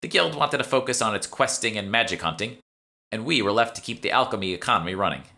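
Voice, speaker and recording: Guy doing a teen boston salesgirl for an audiobook, casual, explains stuff, bright voice. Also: lowish voice, expressive, quick pace, pitched above the performer's own voice. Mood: chatty, informative. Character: teenage salesgirl, evasive then chatty, knowing alchemy shopkeeper. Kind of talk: audiobook character dialogue.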